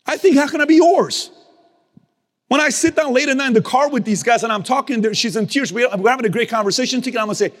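A man's voice: sits high, long reverb tail. A man giving a motivational speech to his team, emphatic and repetitive, with casual slang and mild cursing. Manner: emphatic, repeats key phrases, swings from loud to hushed. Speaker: business leader speaking to his team.

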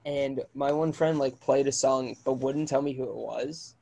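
A young man in his early twenties chats loosely on a music podcast. His voice sits in an ordinary middle pitch, and he rambles through his points with plenty of fillers and acted-out asides. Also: fairly even intonation, normal speed, gravelly, crowd noise. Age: 20s. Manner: rambling, filler-heavy, drops into quoted asides. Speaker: podcast co-host. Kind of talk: casual chat.

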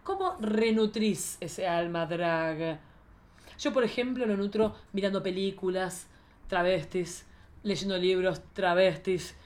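A woman's voice: mid-range voice, slow, darkish tone. Argentine woman hosting a podcast, jokey and a bit theatrical, just chatting away. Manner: playful, joking, theatrical. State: pregnant. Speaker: podcast host. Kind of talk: casual chat.

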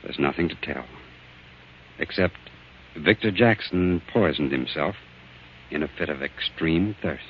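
Deep calm doctor in old radio play, muffled, faint noise. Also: male voice, a little slow, long pauses. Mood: resigned. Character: calm, observant, quietly forgiving male doctor. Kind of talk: 1940s radio acting.

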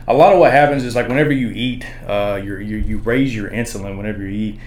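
A man with a deep baritone speaks in a loose, meandering way. He explains like a chatty podcast guest, backing off his own claims and circling around to his point. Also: fast, darkish tone, slight background noise. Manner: rambling, hedging explainer. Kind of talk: casual chat.

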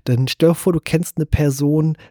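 Clear-voiced man discussing films, dry sound. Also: fairly high pitch, steady tone, moderate pace, dark tone, dry recording. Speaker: podcast host. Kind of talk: casual film discussion.

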